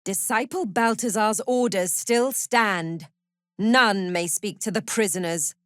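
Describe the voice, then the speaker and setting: A woman playing a cruel, haughty prison warden in a fantasy video game, menacing and condescending, with measured, deliberate delivery. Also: medium pitch, no room sound, studio quality, natural voice. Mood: cold, condescending. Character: cruel, haughty female prison warden, zealous cult follower.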